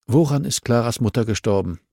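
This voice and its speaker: A man narrating plays a determined woman investigator in an audiobook. She is terse and probing, pressing her questions crisply in a dry, close recording. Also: mid-range voice, brisk, darkish tone, dry recording, lightly acted. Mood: insistent. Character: determined female investigator leading a meeting, crisply insistent.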